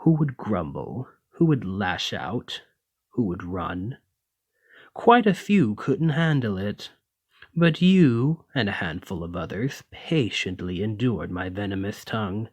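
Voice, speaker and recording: A haughty serpent nobleman, acted by a man for an ASMR roleplay, speaks at a slow, lordly drawl in a fairly low voice. His pompous, refined phrasing rises and falls widely as he condescends to and teases his servant. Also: darkish tone, breathy edge, no echo, studio quality, full character voice. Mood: softened, pleased. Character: haughty, teasing male lamia noble, half-serpent employer. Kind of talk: ASMR roleplay, scripted monologue to listener.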